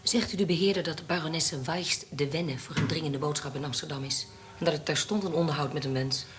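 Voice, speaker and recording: A woman's voice: deep voice, brisk, lightly acted. A woman in a radio drama playing a bold, haughty baroness with a cool, formal, commanding manner. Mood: haughty, insistent. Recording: noisy radio play recording.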